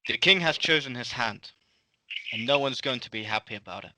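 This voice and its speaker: A man's voice: mid-range voice, lively intonation, fairly quick, pushed and bright, a little hiss or hum, rough recording. A man playing a confident, teasing nobleman in his thirties in an amateur script table read. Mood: teasing, assured.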